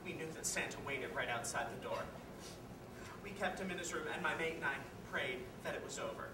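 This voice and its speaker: A woman playing a bitter, guilt-ridden, washed-up reindeer parent in a confessional dramatic stage monologue that swings between hushed and loud. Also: sits low, expressive, brisk. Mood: guilty, subdued. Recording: echoey, distant, noisy live room recording.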